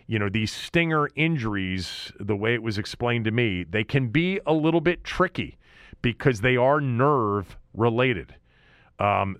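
A man hosting a sports talk show, giving opinionated commentary in deliberate, emphatic phrases with points repeated for weight. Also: lowish voice, a bit bright, no echo, clean studio sound. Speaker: sports talk podcast host.

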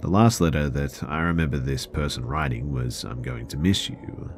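Super deep voiced guy telling creepy stories like they happened to him, gravelly, chatty, bit of ambient noise behind. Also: normal speed. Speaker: narrator of listener-submitted scary stories. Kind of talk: conversational first-person horror storytelling.

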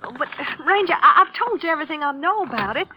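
High, flustered young woman witness. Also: animated, fast, bright, forceful tone, slight background noise, heavily acted, low-quality recording. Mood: nervous, defensive. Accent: American. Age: young adult. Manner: flustered, hesitant, then insistent. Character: nervous, defensive young small-town woman witness. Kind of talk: 1950s radio drama acting. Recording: vintage 1950s radio broadcast recording.

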